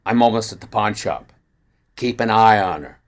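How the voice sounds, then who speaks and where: A man's voice: on the low side, moderate pace, natural voice. A man voicing a wry small-town police detective in a story reading, dry and skeptical. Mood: brisk. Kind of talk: acted dialogue in a story reading.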